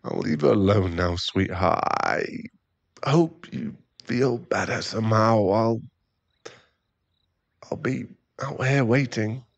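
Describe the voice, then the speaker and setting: Guy acting as a sorry werewolf boyfriend for an asmr audio, lowish voice, soft and stammery, really close dry sound. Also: gravelly, no echo, full character voice. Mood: tender, dejected. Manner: halting, stammering, soft-spoken apology. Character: remorseful werewolf boyfriend and expectant father, apologetic, tender. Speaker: ASMR roleplay performer.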